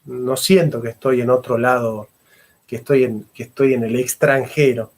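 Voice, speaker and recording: A man's voice: mid-range voice, fairly even intonation, normal speed, darkish tone. A middle-aged man, a philosopher and history teacher giving a fervent lecture and repeating phrases for emphasis. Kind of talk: impassioned lecture.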